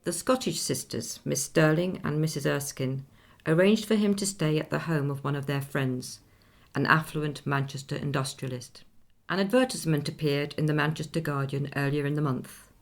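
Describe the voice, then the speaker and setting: An actress playing a woman who recounts a composer's life in measured, informative radio-play narration. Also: deep voice, creaky. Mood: composed.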